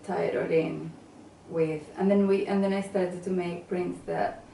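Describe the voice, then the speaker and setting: A woman with a low, mellow voice answers interview questions in a relaxed, halting way, with lots of ums and restarts. She sounds set back from the microphone in an echoey room with faint background noise. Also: creaky, far from the mic. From England and Venezuela. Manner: hesitant, with many fillers and restarts. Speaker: guest, graphic designer.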